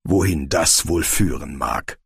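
A man voicing a stern, dignified army general in an audiobook, speaking in a stiff, measured, terse way. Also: extremely low pitch, expressive, vocal fry, slightly rough, dry recording, clean studio sound, pitched below the performer's own voice, full character voice. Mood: reserved.

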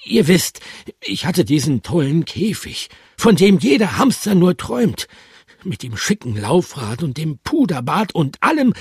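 A man voicing a gentle, wistful male pet hamster who speaks with a lisp, as in a storybook read aloud. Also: a little high, very animated, a little slow, slightly rough, dry recording, clean studio sound, voice pushed up, full character voice. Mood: defensive. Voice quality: lisping.

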